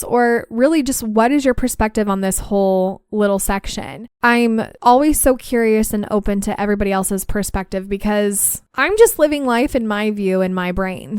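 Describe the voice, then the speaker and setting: A woman's voice: a little high, clear, resonant tone, no echo, studio quality. A young woman hosting a podcast, chatting casually and then reading listener stories aloud. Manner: chatty, laughs easily, reads stories aloud. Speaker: podcast host.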